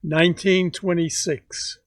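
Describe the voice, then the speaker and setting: Slow-talking man in his nineties. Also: sits high, flat delivery, no room sound, clean studio sound. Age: 90s. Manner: slow, halting recollection with many pauses. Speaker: grandfather telling stories. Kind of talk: casual family interview.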